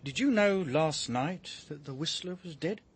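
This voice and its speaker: An actor playing a stern English chief inspector in a radio drama, questioning formally and persistently. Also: male voice, on the high side, animated, normal speed, slightly rough. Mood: probing.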